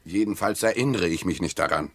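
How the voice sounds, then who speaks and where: A man's voice: sits low, expressive, brisk, fairly bright tone, dry recording, exaggerated voice. An actor playing a stubborn, contrary husband weary of his marriage in a German radio play, with a dry, pedantic manner.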